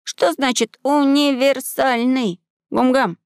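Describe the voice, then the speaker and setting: A woman voicing an earnest, curious and bold seven-year-old boy in a storybook, asking clear, insistent questions. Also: sits high, steady tone, slow pace, no echo, clean studio sound, voice pushed up. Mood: puzzled questioning.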